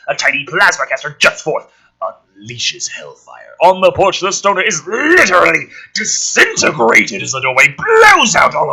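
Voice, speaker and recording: A man reading a film script aloud and adding casual, sardonic, profane commentary in an animated way. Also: a little high, slightly rough, rough recording.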